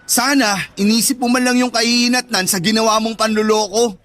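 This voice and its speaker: A man's voice: very high voice, steady tone, brisk, fairly bright tone. A man acting as an angry, betrayed young man in a Tagalog radio drama, scornful and confrontational, with a Filipino accent. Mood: angry, hurt. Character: angry, betrayed young straight man rejecting his friend.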